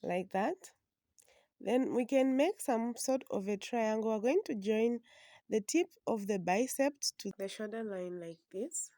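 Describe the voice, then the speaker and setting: A woman, hoarse from a flu, patiently explaining a sewing pattern step by step. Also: a little high, dark tone, dry recording, clean studio sound. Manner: slow, patient instructions. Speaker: sewing instructor. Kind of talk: step-by-step drafting tutorial.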